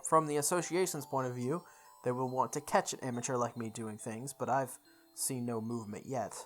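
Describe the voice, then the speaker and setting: Visual novel playthrough narrator, medium pitch, deliberate read-aloud delivery with small stumbles, music underneath. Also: fairly even intonation. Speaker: let's play host reading a visual novel aloud. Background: background music.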